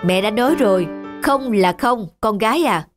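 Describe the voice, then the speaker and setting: A woman's voice: fairly high pitch, lively intonation, moderate pace, close to an everyday voice. Caring, principled cartoon mother played by a woman. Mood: firm. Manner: firm but gentle. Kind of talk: animated moral tale dubbing. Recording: background music under the voice.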